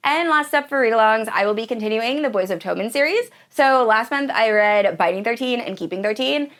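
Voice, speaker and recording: High-voiced woman, enthusiastic book vlogger. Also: lively intonation, brisk, a bit bright. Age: 30s. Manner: enthusiastic, gushing. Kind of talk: casual chat to viewers about books.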